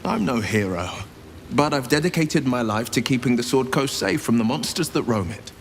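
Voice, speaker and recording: Man playing an animated young monster hunter. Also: mid-range voice, normal speed, a little hiss or hum, close to an everyday voice. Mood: modest, amused. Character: earnest young heroic monster hunter, eager and gallant. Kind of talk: fantasy game dialogue.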